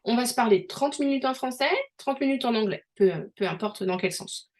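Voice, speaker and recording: A woman's voice: fairly high pitch, a little fast, darkish tone. Chatty French woman explaining with examples. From France. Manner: chatty, many restarts and fillers, explains with examples. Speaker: host. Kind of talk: casual conversational interview.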